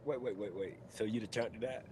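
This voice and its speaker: A man with a fairly low voice hosts a live-streamed chat show. He talks in a relaxed, slangy way and keeps encouraging his guest. He sounds casual and unhurried. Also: breathy edge. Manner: laid-back, slangy, encouraging.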